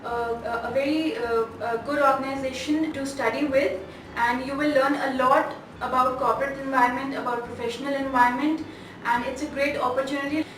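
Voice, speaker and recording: A high-pitched young woman with a North Indian accent gives a testimonial haltingly, searching for words. She sounds away from the mic in a very echoey space, with clear noise around her. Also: fairly even intonation, recorded from a distance. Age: 20s. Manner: hesitant, halting. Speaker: student giving a testimonial. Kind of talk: interview testimonial.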